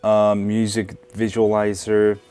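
Low male voice, relaxed hands-on tech reviewer, lots of fillers, faint music underneath. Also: takes it slowly, clean tone. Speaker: tech video host. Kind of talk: casual hands-on phone review. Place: indoors at a desk.

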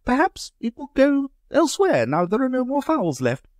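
A man's voice: very high-pitched, huge pitch swings, moderate pace, dry recording, studio quality, voice pushed up, heavily acted. A man voicing a prim, anxious genteel young wife in a reading of a story. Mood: fretful.

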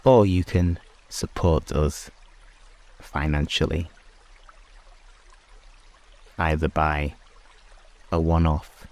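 Very deep, extremely slow man's voice. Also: steady tone. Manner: hushed, unhurried, long pauses between short phrases. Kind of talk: slow guided sleep meditation and prayer.

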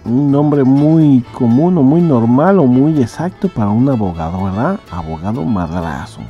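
A man's voice: medium pitch, animated, measured pace. A man with a Mexican accent hosting a podcast, chatting casually in commentary on a radio serial. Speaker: podcast host. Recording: dull lo-fi sound, music behind. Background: music.

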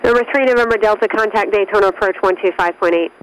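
A woman's voice: mid-range voice, fast talker, rough recording. A woman working as an air traffic controller, giving terse, stern, clipped instructions over aviation radio. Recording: radio transmission with faint noise.

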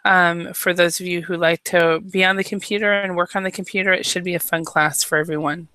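A woman's voice: lowish voice, fairly even intonation, brisk, pushed and bright, no room sound. A young American woman from Idaho teaching an online English class, chatty and friendly, with lots of ums and uhs. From Idaho, USA. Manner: chatty, friendly, with many um and uh fillers. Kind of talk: casual online language lesson. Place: small room at home. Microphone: headset mic.

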